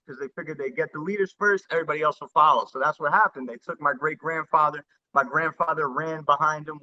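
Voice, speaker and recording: A man in his thirties from the New York and New Jersey area, a rapper telling his story in a casual interview, earnest and halting with many restarts. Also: fairly high pitch, bright, forceful tone. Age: 30s. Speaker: rapper guest. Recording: dull lo-fi sound.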